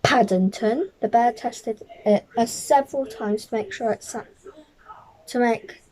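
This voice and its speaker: A woman reads a children's story aloud at a slow pace. Her voice is fairly high and mellow, and her reading is careful, with small stumbles along the way. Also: steady tone, low-quality recording. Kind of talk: children's picture book read-aloud.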